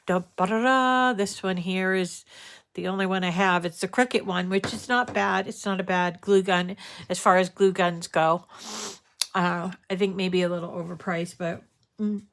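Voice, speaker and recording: A woman's voice: on the low side, steady tone, normal speed, a bit bright. A woman hosting a crafting tutorial, talking in a chatty, rambling way while stuffed up with a cold. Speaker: crafting show host.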